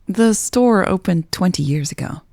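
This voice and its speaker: A reserved, wry woman who keeps a bookshop in a romance audiobook , played by a female narrator. She is easily flustered, and her voice is low and husky. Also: lively intonation, normal speed, darkish tone, dry recording.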